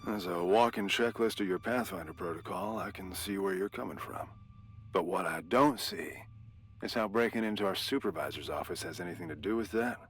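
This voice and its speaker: An actor playing a gruff, protective veteran security guard in a video game, with a wry, blunt, folksy manner. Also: male voice, sits low, a little fast, close to an everyday voice. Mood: blunt, skeptical.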